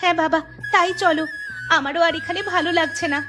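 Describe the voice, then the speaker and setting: A woman's voice: extremely high pitch, steady tone, quick pace, music in the background. A woman playing a distressed, lamenting poor village woman in a cartoon, with a plaintive, wailing delivery. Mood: plaintive, worried. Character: distressed, lamenting poor village women who lost their home. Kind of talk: Bengali cartoon dialogue acting.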